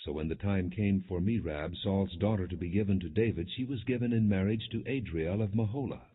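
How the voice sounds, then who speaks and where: Low-voiced man reading scripture, muffled recording. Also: fairly quick, breathy edge. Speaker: audiobook narrator. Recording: muffled, phone-like narrow band.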